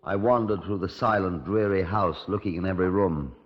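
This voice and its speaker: An actor playing a courteous, wry Scotland Yard inspector, narrating his case in a measured radio crime drama style. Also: sits low, husky. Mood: brooding, deliberate. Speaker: detective narrator. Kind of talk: 1950s radio crime drama, first-person narration and dialogue. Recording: dull, muffled 1950s radio recording.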